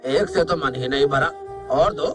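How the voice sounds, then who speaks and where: A man's voice: mid-range voice, normal speed, over music, close to an everyday voice. A man playing a wealthy, genial merchant customer in a cartoon story, talking in an assured, friendly way. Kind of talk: Hindi cartoon story acting.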